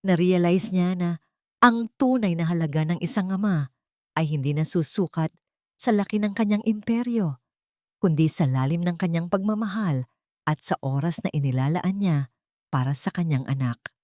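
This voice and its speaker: A woman's voice: lowish voice, lively intonation, normal speed, mellow, no echo, clean studio sound. A woman giving an earnest, scripted dramatic narration as a sentimental storyteller. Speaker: storyteller and channel host.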